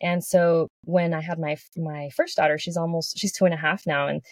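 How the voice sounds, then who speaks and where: A woman's voice: low-pitched, fairly even intonation, brisk, no room sound. A woman in her thirties from Texas, a podcast guest talking earnestly in casual chat, with frequent restarts. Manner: earnest, with many restarts and "like" fillers.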